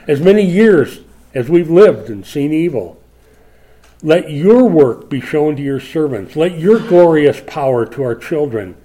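A man's voice: mid-range voice, mellow. A man in his sixties preaching a sermon, reflective, rising with emphasis when he reads scripture. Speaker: pastor.

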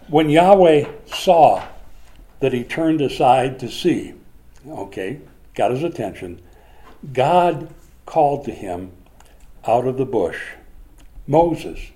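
A man preaching a conversational Bible lesson, animated at times and hushed at others. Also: mid-range voice, slow, long pauses. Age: older. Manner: animated, swings from loud to hushed.

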